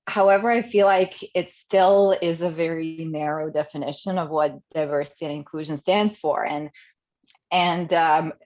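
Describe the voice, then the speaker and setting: A woman's voice: low-pitched, steady tone, moderate pace, darkish tone. A woman from Croatia on a video call, asking a thoughtful question in a hedging way, with many fillers. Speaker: webinar attendee. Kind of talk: casual question from the audience. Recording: muffled lo-fi video call audio.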